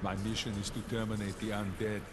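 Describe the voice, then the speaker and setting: An actor playing a blunt, emotionless killer cyborg in a video game, matter-of-fact and commanding. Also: male voice, fairly low pitch, little pitch movement, a little fast, background noise, close to an everyday voice. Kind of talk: video game character acting. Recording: loud music behind.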